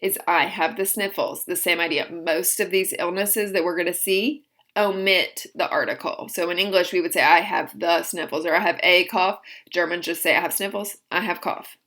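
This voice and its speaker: A woman teaching a language lesson, slowly modeling each phrase and then explaining it. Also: lowish voice, steady tone, fairly bright tone. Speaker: German teacher. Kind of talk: recorded vocabulary lesson.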